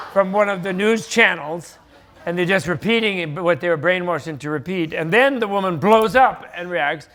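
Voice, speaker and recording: An older man speaks at a live panel in a gravelly, fairly high voice. He explains ideas in a loose, animated way, often restarting his sentences as he goes. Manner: animated, rambling explanations with self-corrections. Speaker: panel speaker on Buddhist teachings. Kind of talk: conversational teaching talk. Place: live event stage with audience.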